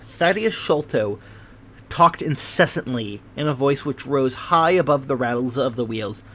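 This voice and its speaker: A man reading a classic novel aloud as narrator, in a measured way with the odd slip and restart. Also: medium pitch, animated, fairly bright tone, slight background noise. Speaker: narrator and channel host.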